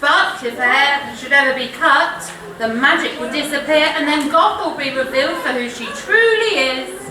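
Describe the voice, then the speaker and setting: An earnest, helpful pantomime fairy acted by a woman, with a high, bright voice. It is projected theatrically and heard from afar in an echoing hall. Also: moderate pace. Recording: echoey, faintly noisy hall from far off.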